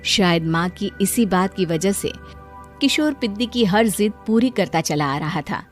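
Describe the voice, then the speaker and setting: A woman's voice: mid-range voice, lively intonation, unhurried, soft background music. A woman narrating a scripted cartoon story as a storyteller.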